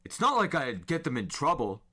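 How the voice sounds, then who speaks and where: A man's voice: mid-range voice, huge pitch swings, moderate pace, bright, forceful tone, voice pushed up, full character voice. A man voicing a teenage older brother in a story, casual and slyly friendly, with a breezy, probing way of talking. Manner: breezy, cajoling questioning.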